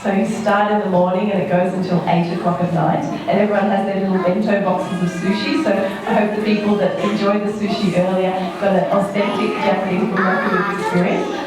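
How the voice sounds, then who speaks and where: A woman's voice: medium pitch, flat delivery, a little fast. Woman speaking in a noisy, echoing hall. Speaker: puppeteer, guest speaker. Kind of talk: informal explanatory talk to an audience. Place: echoey indoor venue before an audience. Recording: echoey hall, distant mic, noisy room.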